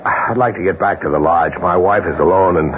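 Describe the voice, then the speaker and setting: An actor playing a worried, sour lodge owner in a 1970s radio drama, gloomy and grumbling. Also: male voice, low-pitched, fairly quick, lightly acted. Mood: anxious. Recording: dull, noisy 1970s radio recording.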